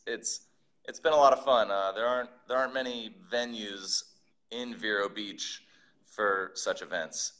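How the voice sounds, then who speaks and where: Brewery owner in his thirties on a video call; bright, low voice, loose halting delivery. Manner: relaxed, halting, with restarts. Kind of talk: casual interview on a video call. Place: brewery building, indoors. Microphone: video call, phone or laptop camera.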